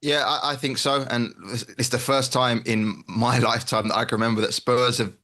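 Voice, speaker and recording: A man speaks as a football pundit in a medium register. His analysis is casual and candid, delivered in a measured, conversational way. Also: mid-range voice, level tone, bright, forceful tone.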